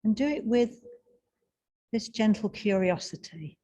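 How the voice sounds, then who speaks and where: Slow, husky-voiced meditation guide woman. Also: mid-range voice, lively intonation. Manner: slow, soft and soothing, with long pauses.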